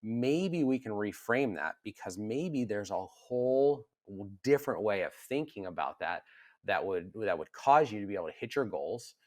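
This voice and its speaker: A man's voice: fairly low pitch, expressive, moderate pace, a little mellow, no echo, clean studio sound. A man co-hosting a casual podcast conversation, talking earnestly and animatedly, often restarting and repeating words as he works through his ideas. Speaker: podcast co-host.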